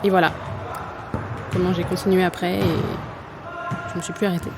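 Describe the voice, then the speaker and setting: A woman's voice: lowish voice, little pitch movement, normal speed, vocal fry, a crowd in the background, background noise. A woman playing an embattled, doubtful young athlete in a French audio drama, earnest and colloquial. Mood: weary, candid. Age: young adult. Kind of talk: naturalistic French audio fiction acting.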